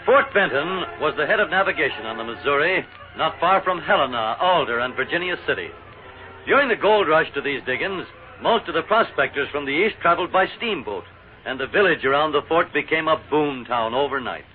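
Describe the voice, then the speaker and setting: A man's voice: on the high side, animated, fairly quick, faint music behind. An American man narrating a 1940s radio drama as its announcer, in a theatrical, declamatory manner. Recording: tinny, noisy 1940s radio broadcast.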